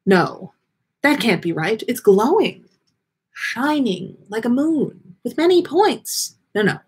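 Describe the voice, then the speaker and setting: A woman voicing a dreamy, melodramatic female fortune-teller from a story, easily flustered, with halting exclamations and trailing pauses. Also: a little high, sing-song, husky, exaggerated voice. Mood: entranced, confused.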